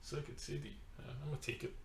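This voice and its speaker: A man's voice: quick pace, a bit bright, slight background noise. A pastor with a Trinidadian accent telling a personal testimony in conversation, emphatic and storytelling, sometimes repeating his words. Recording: roomy echo.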